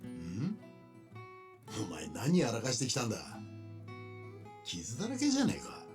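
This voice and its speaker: An actor playing a brash, confident swordsman leader in a period game drama, with rough, commanding, blunt speech. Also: medium pitch, wildly swooping pitch, brisk, over music. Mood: brash, familiar.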